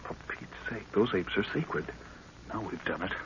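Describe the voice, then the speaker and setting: An actor playing an eager but wary young explorer, urgent and earnest, in 1930s radio style. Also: male voice, fairly low pitch, normal speed, fairly bright tone, close to an everyday voice. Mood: earnest, alarmed. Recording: muffled, noisy 1930s radio recording.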